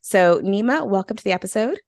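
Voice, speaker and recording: A woman's voice: medium pitch, lively intonation, fairly quick, a little mellow, dry recording. Upbeat woman podcast host, dry sound. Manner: upbeat, enthusiastic. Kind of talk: scripted podcast intro and interview.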